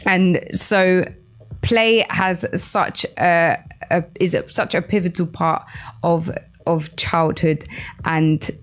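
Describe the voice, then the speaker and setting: A woman with a slightly low voice hosts an educational radio programme. She speaks straight to her listeners, putting questions and prompts to them as she presents. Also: steady tone, slow pace. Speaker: radio show host.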